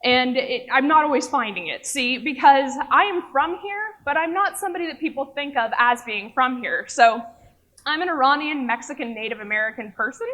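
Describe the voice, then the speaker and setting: A young woman from Oklahoma speaks from a stage in a fairly high voice. She tells her story in a rehearsed way and pokes fun at herself as she goes. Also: animated, fairly quick, a bit bright, a little hiss or hum. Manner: wry, self-mocking humor. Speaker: speaker at a live event. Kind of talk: rehearsed personal talk. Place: stage before an audience.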